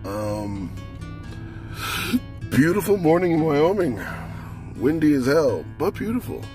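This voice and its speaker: A man is losing his voice as he films a casual travel vlog on windy town streets, talking in a chatty, wry way. He speaks into a handheld camera while guitar music plays clearly underneath. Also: fairly high pitch, big pitch swings, slow pace. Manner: chatty, wry, self-narrating. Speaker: vlogger.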